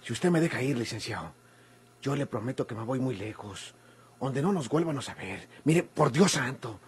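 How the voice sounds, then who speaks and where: A man's voice: medium pitch, expressive, normal speed, slight background noise, natural voice. A man with a Mexican accent playing a servile, pleading prisoner in a vintage radio drama, obsequious and slippery. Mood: humble, wheedling. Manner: obsequious, pleading, stammering when agitated.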